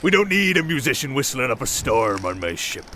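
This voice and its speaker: A man's voice: mid-range voice, very animated, fairly quick, background noise, natural voice. A man playing a menacing, mocking pirate captain who taunts with a swagger in a fantasy audio roleplay.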